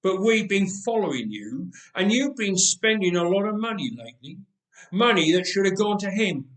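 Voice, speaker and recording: A man's voice: high voice, big pitch swings, moderate pace, fairly bright tone. A man voicing a menacing knife-wielding debt collector in a story, growling and mocking. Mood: threatening. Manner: mocking, taunting. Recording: roomy, echoing space.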